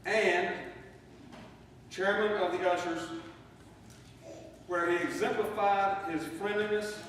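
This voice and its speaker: A middle-aged man reading a memorial eulogy in a measured, deliberate way in a church. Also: sits high, steady tone, a bit bright. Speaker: eulogist, son of the deceased. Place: church sanctuary. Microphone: distant microphone in a large room. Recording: distant mic, echoey hall, faint noise.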